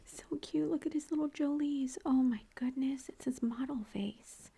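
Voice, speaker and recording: A mother whispering softly as she shows family photos, gushing and chatty, close to the mic at home. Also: high-pitched, steady tone, normal speed, gravelly. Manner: soft unvoiced whisper throughout, gushing and chatty. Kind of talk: whispered ASMR photo show-and-tell.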